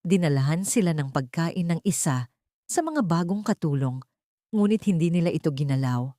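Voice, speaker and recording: Female audiobook narrator for a romantic drama, lowish pitch, very dry sound. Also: animated, moderate pace, warm tone, no room sound. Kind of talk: dramatic scripted story narration.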